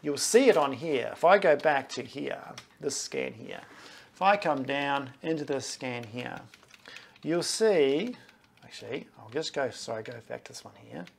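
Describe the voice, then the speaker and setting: A man's voice: medium pitch, expressive, measured pace, gravelly, breathy edge. A man in his forties, a physiotherapist, chatting through his own knee scans to camera in a small clinic office, often checking in with okay and right. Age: 40s.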